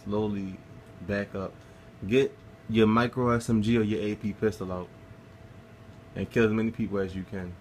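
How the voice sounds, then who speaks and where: A man's voice: lowish voice, steady tone, moderate pace, slight background noise. A man casually talking through a game walkthrough, laid-back and swearing freely as he plays. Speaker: gameplay guide narrator.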